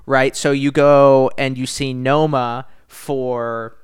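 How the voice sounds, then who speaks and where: A man co-hosting a podcast, telling stories in casual, enthusiastic chat and repeating words when excited. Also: medium pitch, slow pace, clear, resonant tone. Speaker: podcast co-host.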